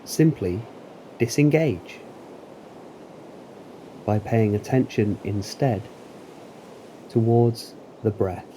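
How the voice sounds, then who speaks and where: A man narrating a guided meditation in a calm, deliberate, soothing manner with long pauses. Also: fairly low pitch, a little hiss or hum, low-quality recording. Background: waves.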